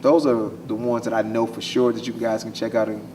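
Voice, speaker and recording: A man, an actor answering casually in an interview, halting and restarting phrases. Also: fairly low pitch, flat delivery. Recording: distant mic, faint noise.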